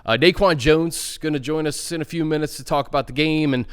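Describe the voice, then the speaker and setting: A man's voice: on the high side, steady tone, brisk, clear, resonant tone. An American man hosting a sports talk show, speaking casually and conversationally as he analyzes games with a co-host.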